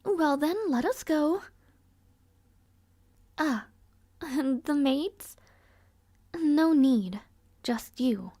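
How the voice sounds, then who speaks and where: A woman plays a frail young princess in an audio roleplay, genteel and quietly resigned. Her voice is high, soft and breathy, sinking often toward a whisper. She speaks slowly and languidly with wry detachment, very close and dry. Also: animated, dry recording, full character voice. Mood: weary, dismissive. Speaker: roleplay voice actress. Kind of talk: intimate audio roleplay acting.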